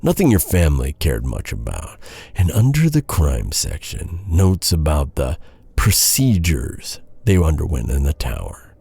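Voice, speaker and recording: An actor playing an embittered, vengeful knight in a dark fantasy audio roleplay, brooding and intense, with halting dramatic delivery. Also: male voice, very deep voice, sing-song, creaky, husky, no echo, full character voice. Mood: grave, restrained. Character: vengeful, embittered male knight interrogating his captive enemy.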